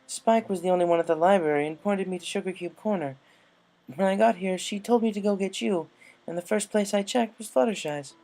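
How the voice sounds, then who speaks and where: A poised young unicorn mare played by a woman reading a story. The voice is lifted high, composed and helpful as it explains things. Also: a little fast, mellow, close to an everyday voice, rough recording. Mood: matter-of-fact.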